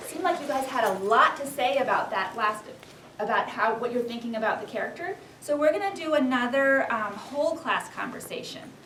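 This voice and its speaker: High-voiced woman teaching in an echoey classroom. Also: animated, a little fast, fairly bright tone. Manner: encouraging, guiding with questions and countdowns. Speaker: first-grade teacher leading a class discussion. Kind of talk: classroom instruction to young children. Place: indoor elementary school classroom. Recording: echoey classroom, distant mic, faint noise.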